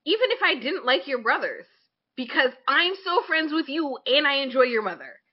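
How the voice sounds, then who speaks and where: Animated, higher-pitched woman chatting bluntly. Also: moderate pace, highs cut off. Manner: blunt, informal, acts out imagined quotes. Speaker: podcast co-host. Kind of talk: casual opinionated chat.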